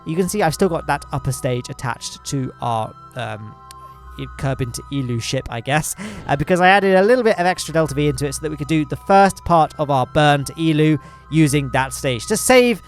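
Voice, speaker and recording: English male gaming host, a bit high, nasal and stuffy, loose rambling commentary. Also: lively intonation, a little fast, soft background music. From England. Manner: rambling, self-deprecating, lots of fillers and restarts. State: congested from hay fever. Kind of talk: chatty gameplay commentary.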